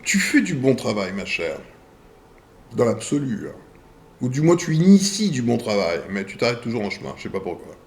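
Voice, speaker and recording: Gravelly man with a lively, swinging voice. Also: medium pitch. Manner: deliberate, broken into short phrases with pauses. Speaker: video channel host. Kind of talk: reading viewer messages aloud and answering them.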